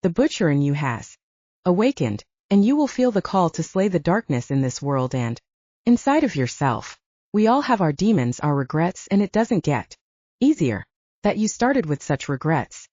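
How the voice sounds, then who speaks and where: A woman voicing a blunt, brisk female investigator who coaches like a mentor in an audiobook. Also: lowish voice, sing-song, dark tone, dry recording. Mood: firm, coaching. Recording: dull lo-fi, very dry.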